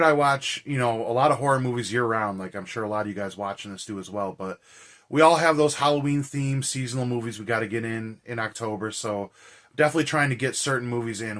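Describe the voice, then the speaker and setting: A man with a hoarse, raspy, tired voice, hosting a vlog in a casual, rambling, slangy way. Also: mid-range voice, fairly bright tone. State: hoarse and tired after a cold and shouting. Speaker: horror movie reviewer and vlog host. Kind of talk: casual chat to camera.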